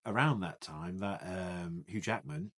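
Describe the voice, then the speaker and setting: A man's voice: deep voice, animated, unhurried, a bit bright. A man co-hosting a casual film podcast, moving the talk from topic to topic in a plain way. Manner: plain-spoken, drives the topics. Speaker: podcast co-host. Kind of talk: casual chat.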